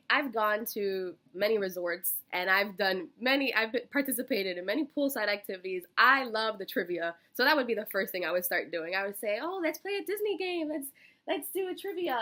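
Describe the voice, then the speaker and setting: A woman's voice: mid-range voice, bright, forceful tone. A woman of about twenty-one with an American accent, answering casual interview questions in a bubbly, effusive, chatty manner. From Miami, Florida, United States. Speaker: job interview candidate, college student.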